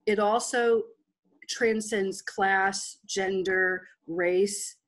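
A woman's voice: mid-range voice, fairly even intonation, extremely slow. A woman in her forties, a business guest speaking frankly and at length over a video call on a headset. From Texas. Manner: frank and rambling, with restarts.

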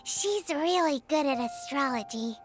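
A woman voicing a tiny, childlike, cheeky and blunt fairy girl in an anime game, with a squeaky voice. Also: lively intonation, a little slow, faint music behind.

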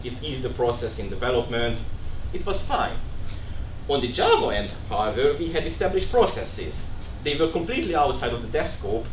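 A man with a Hungarian accent speaks at a tech meetup in a large office room. His delivery is casual and self-deprecating, full of restarts. He sounds distant from the mic, with room echo and faint background noise. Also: medium pitch. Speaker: conference speaker.

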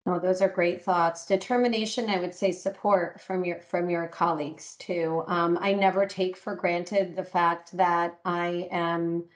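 A woman's voice: fairly low pitch, level tone, measured pace, few pauses. A middle-aged woman leading a panel discussion on a call, affirming and conversational. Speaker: podcast host and panel lead.

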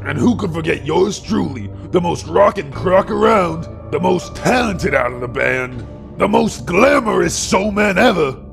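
A flamboyant cartoon gator showman, played by a man, announces boastfully in a high, heavily gravelled voice. The delivery is slow and swings up and down, with faint music behind. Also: animated, full character voice. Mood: boastful showmanship. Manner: bombastic, self-aggrandizing. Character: flamboyant boastful male alligator rock star showman. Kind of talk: stage announcer introductions.

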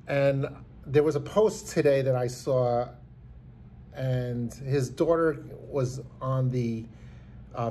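A man's voice: medium pitch, warm tone, a little hiss or hum. A man in his fifties giving an earnest, rambling tribute to camera from a small indoor room, filmed close on a phone. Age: 50s. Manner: earnest, rambling, many restarts. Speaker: vlogger. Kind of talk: heartfelt tribute talk to camera. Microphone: phone camera held close.

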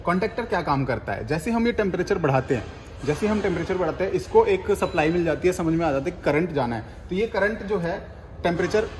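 A man's voice: high voice, expressive, quick pace. A young man explaining oven panels in a casual demo, repeating himself and restarting phrases often. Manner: explains step by step with many repetitions and restarts. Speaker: bakery equipment seller. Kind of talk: casual product demonstration. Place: workshop with ovens, indoors. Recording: echoey room, faint noise.

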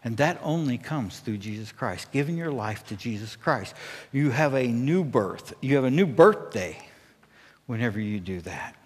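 A man's voice: mid-range voice, lively intonation, moderate pace, breathy edge. A middle-aged American man preaching a conversational sermon as a pastor, earnest and emphatic, often checking in with his listeners. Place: church stage at a pulpit, indoors. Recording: echoey hall with long reverb.

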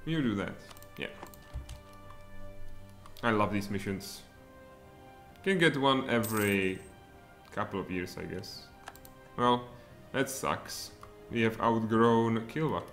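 Czech guy streaming a strategy game, lowish voice, mutters then gets excited, quiet music and typing in the back. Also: takes it slowly, long pauses. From Czech Republic. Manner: mutters thoughts aloud, then bursts out excitedly. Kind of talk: live strategy game commentary. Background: faint music and keyboard typing.

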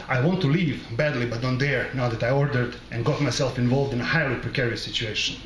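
A middle-aged man from the former Yugoslavia reading his literary short story aloud at a microphone, in a measured, deliberate and wry way. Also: mid-range voice. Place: small bar with brick wall, indoors. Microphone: close microphone on a stand. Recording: roomy live recording, background noise.

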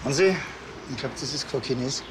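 An actor playing a dry, sceptical Bavarian policeman with a deadpan, needling manner in a TV crime comedy. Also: mid-range voice, normal speed, background noise, close to an everyday voice. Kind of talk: naturalistic TV crime comedy dialogue.

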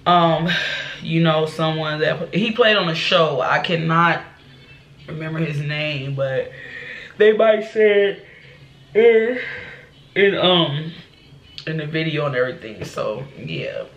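A woman's voice: deep voice, expressive, slow pace, pauses often, slight background noise. A young woman with a stuffed-up nose, chatting casually with her viewers as a reaction channel host, from a small bedroom. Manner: chatty, repeats "y'all" and "you know". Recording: echoey small room.

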